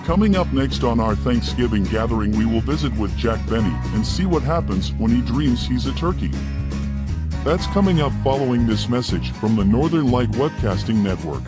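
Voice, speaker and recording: A man's voice: medium pitch, animated, normal speed, over loud music. A man hosting a radio program, reading scripted links between shows. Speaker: host.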